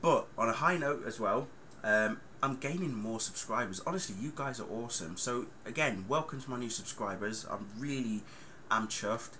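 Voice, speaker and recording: A man's voice: medium pitch, normal speed, hardly any pauses, pushed and bright. A man, chatting casually and rambling on a vlog with plenty of fillers. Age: 30s. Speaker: vlogger. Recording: clear background noise.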